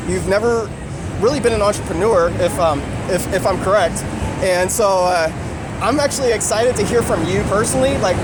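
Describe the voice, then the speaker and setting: A man hosting a vlog, talking eagerly into a handheld camera outdoors and interviewing a friend. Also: high-pitched. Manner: upbeat, eager, repeats himself. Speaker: vlog host. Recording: loud outdoor traffic noise.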